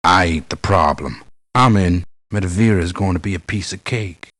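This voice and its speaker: An actor playing a blunt, cynical, tough-talking mercenary in a video game. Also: male voice, low-pitched, normal speed, a bit bright, dry recording, studio quality. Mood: blunt, grumbling. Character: gruff, blunt male mercenary, cynical about pay. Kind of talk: video game character voice lines.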